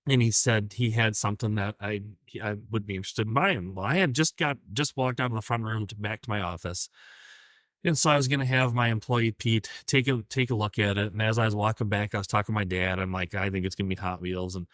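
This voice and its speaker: A man who runs an antique shop, co-hosting a podcast and telling a story in a relaxed, halting way. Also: fairly low pitch, no echo. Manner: relaxed, hesitant, many ums. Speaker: podcast co-host, antique dealer. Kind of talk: casual storytelling chat.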